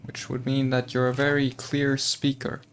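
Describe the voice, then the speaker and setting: A man's voice: mid-range voice, monotone, normal speed, a little hiss or hum. A man streaming a game, chatting casually, thinking aloud and talking to his chat. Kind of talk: casual gameplay commentary.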